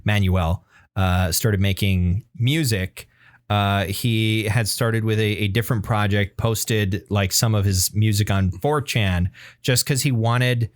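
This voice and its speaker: A man hosting a casual podcast discussion about music, speaking in a halting way as he searches for his words. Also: on the low side, fairly even intonation, no echo, studio quality. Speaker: podcast host.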